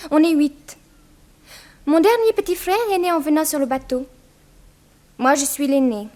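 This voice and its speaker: A woman's voice: high-pitched, lively intonation, moderate pace, a little mellow. An actress playing a bold, proud eighteen-year-old Russian immigrant girl in a 1960s French radio drama, with a cheeky, self-assured manner. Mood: cheeky, chatty.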